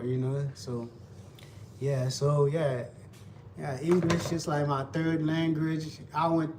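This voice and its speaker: A man's voice: medium pitch, darkish tone. A young man with a Haitian Creole accent, chatting loosely with viewers while he cooks, repeating himself often. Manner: loose, repetitive, talks to viewers while cooking. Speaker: cooking vlogger. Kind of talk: casual live cooking chat. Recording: distant mic with faint background noise.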